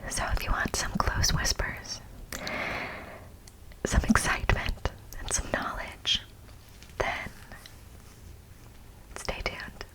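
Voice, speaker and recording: A woman hosting ASMR in close whispers to the microphone, chatting casually and excitedly and often repeating words. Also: slow pace, pauses often, poor audio. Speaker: ASMR video host.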